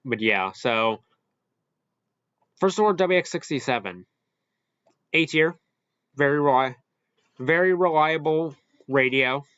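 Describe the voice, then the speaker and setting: A man hosting a casual, unscripted ranking commentary, halting and rambling as he corrects himself along the way. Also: on the high side, expressive, fairly bright tone, clear, resonant tone, no echo, lo-fi sound. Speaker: video host ranking products.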